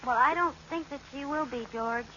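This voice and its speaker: An actress playing a teasing, sentimental American housewife in an old radio sitcom, wry and emphatic. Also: female voice, high voice, normal speed, pushed and bright, muffled recording. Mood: exasperated. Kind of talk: 1940s-50s radio sitcom acting. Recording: noisy, band-limited old radio recording.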